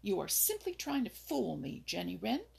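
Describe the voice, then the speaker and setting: A woman voicing a touchy young male rabbit in a storybook, with a raspy, gravelly voice. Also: mid-range voice, expressive, a little slow, heavily acted. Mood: indignant. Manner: indignant, defensive.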